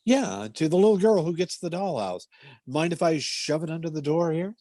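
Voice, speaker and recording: A man's voice: a little high, lively intonation, normal speed, mellow, dry recording, studio quality, natural voice. A performer playing a cheerful, glib salesman, a male family friend, breezy and chummy in old-time radio comedy style.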